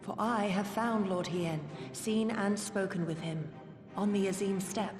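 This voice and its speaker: An actress playing a courteous, loyal female resistance agent in a video game, speaking measured, formal, archaic English. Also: mid-range voice, steady tone, natural voice. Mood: solemn. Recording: echoey hall reverb under clear music.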